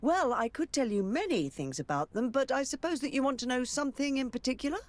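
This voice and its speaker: A woman's voice: sits high, lively intonation, quick pace, no room sound. An actress playing a discreet, formally polite noblewoman in a video game. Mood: gracious, helpful. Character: courteous, discreet well-informed noblewoman. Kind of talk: dramatic video game dialogue.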